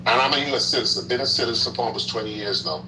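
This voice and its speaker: A man with a bright, forceful voice chatting on a livestream. He hammers his points home by repeating them, with slangy asides. His voice carries a roomy echo. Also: fairly low pitch, racing, slight background noise. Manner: emphatic and repetitive, with slangy asides. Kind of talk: casual political chat.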